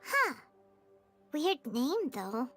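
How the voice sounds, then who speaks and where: A childlike little floating sidekick from an anime game, cheerful and inquisitive. The voice is extremely high and squeaky and swoops up and down. Music plays clearly behind it. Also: female voice, big pitch swings, very slow, pushed and bright, heavily acted. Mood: puzzled. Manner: speaks of herself in the third person, exclaiming. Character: tiny childlike floating companion, cheerful, food-loving, curious. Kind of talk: anime-style video game voice acting.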